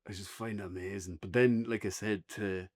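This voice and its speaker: A man's voice: on the low side, steady tone, fairly quick, darkish tone. Casual Irish man chatting. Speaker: podcast co-host reviewing a play. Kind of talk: casual unscripted chat. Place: indoors on a couch, podcast setup.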